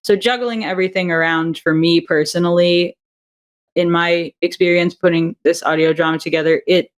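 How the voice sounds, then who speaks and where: A woman's voice: lowish voice, fairly even intonation, normal speed. A woman guest on a podcast, an audio drama creator, talking chattily with many fillers. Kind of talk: casual interview chat.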